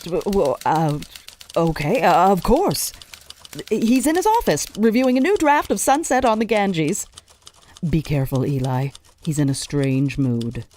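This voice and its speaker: A woman's voice: fairly low pitch, expressive, a little slow, darkish tone. A woman playing a flustered, loyal studio secretary, hesitant and harried in an old-time serial style. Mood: flustered, concerned. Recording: clearly noisy, typing behind.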